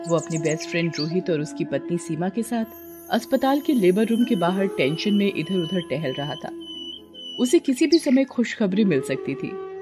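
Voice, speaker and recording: A woman narrating a scripted horror story in a dramatic storytelling style. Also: lowish voice.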